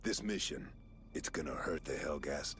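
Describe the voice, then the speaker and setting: An actor playing a foul-mouthed, vengeful army sergeant with a gruff, gravelly voice in a video game. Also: male voice, moderate pace, slight background noise. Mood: grim. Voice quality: low, gravelly. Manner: gruff, swearing. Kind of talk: video game cutscene acting. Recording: loud music behind the dialogue.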